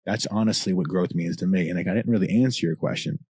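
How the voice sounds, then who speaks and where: A man with a deep voice, a rapper talking as a podcast guest, passionate, swearing often and rambling in long answers. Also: fast talker, darkish tone, slightly rough, no room sound, studio quality. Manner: passionate, profane, long-winded. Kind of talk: casual candid chat.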